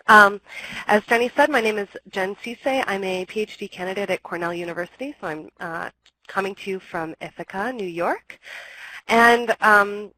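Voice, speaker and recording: A young woman with a cold gives a webinar presentation, her voice a touch scratchy. She explains step by step, often pausing on ums and correcting herself. Her pitch sits in the middle range, and her delivery is even. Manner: explanatory, with frequent ums and restarts. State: has a cold, slightly scratchy.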